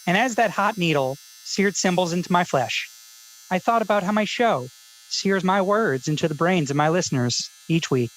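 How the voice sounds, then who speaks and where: A man's voice: sits high, animated, normal speed, poor audio. Man playing an earnest, insecure radio essayist. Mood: wistful solemn. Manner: precise, soft, overly sincere. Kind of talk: confessional public-radio narration parody.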